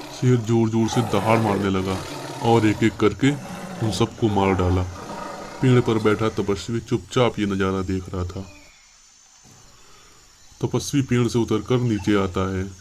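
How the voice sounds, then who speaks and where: A man with a fairly low voice narrates a scripted story for children. He works as a storyteller addressing young listeners, and there is clear noise in the recording.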